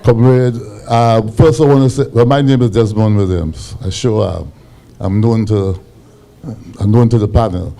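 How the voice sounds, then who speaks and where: A man with a Grenadian accent and a big voice, asking questions at a town hall in a halting, comradely way. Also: fairly low pitch, gravelly, warm tone. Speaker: audience questioner.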